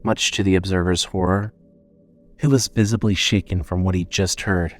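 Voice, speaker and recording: A man narrating a scripted horror audio drama in a measured, dramatic storytelling manner. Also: low-pitched, fairly even intonation.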